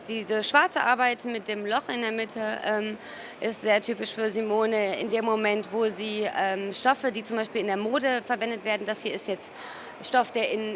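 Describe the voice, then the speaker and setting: A woman from Cologne speaking informally as a gallerist in an interview, often pausing mid-sentence to search for her words. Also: a little high, a bit bright. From Cologne, Germany. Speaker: gallerist presenting artworks. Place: busy exhibition hall at an art fair. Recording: noisy art fair ambience. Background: ambient fair noise.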